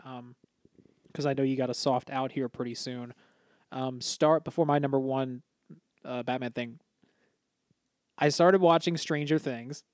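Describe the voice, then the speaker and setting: A man's voice: medium pitch, a little mellow, no echo, studio quality. A young man co-hosting a casual podcast, telling rambling stories and swearing casually. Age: young adult. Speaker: podcast co-host. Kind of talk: casual chat.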